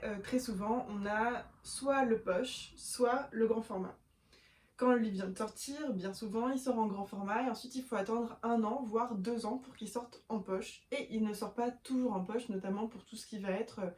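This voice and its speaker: Young woman vlogger, chatty and a little halting, mellow voice on the high side, recorded in a roomy space. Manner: chatty, with frequent fillers and self-corrections; shows books to camera while talking. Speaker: book vlogger. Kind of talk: casual chat to camera. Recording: echoey room.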